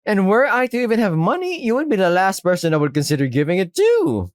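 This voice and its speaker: A man voicing a sweet, soft-spoken, unflappable old woman who keeps a shop, polite but firm. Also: sits high, sing-song, fast, no echo, clean studio sound, pitched above the performer's own voice. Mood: defiant.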